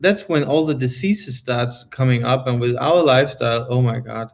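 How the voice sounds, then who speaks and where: A man's voice: mid-range voice, normal speed, gravelly. A Danish-accented man in his early thirties chats casually on a home livestream. He is self-mocking and dramatic and often trips over his words. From Denmark. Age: 30s. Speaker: vlogger co-host of a livestream.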